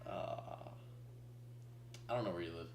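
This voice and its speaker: Teasing slangy young co-commentator, low voice. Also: male voice, a little slow, creaky, darkish tone, a little hiss or hum. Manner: teasing, slangy, says bro. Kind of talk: casual online race commentary.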